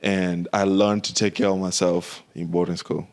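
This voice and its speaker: A young man from Kenya talks in a low voice with a gravelly edge, chatting casually as a podcast guest. He laughs easily and repeats his points for emphasis. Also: moderate pace. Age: young adult. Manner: relaxed, laughs often, repeats phrases for emphasis. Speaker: podcast guest, military veteran. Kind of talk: casual conversation.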